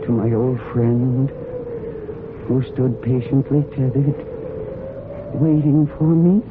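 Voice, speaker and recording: A woman's voice: a bass voice, slow. Intense, guilt-ridden woman, noisy narrow-band radio. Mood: grim, tense. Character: guilt-ridden, desperate woman covering up a death. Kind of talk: dramatic radio mystery acting.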